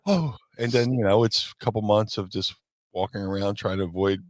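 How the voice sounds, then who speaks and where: A man's voice: lowish voice, a little fast, no room sound, studio quality. A man, co-hosting a casual, profane chat and rambling with restarts. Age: 50s. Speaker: co-host.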